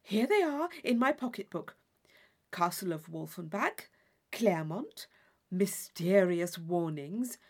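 A woman's voice: mid-range voice, a little mellow. A woman voicing a gushing, theatrical young society lady in a classic novel reading, effusive, affected and intense. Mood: eager, dramatic.

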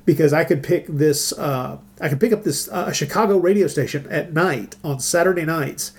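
Middle-aged podcast host, chatty and enthusiastic, mid-pitched voice, relaxed conversational delivery. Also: normal speed. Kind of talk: casual discussion of old radio dramas.

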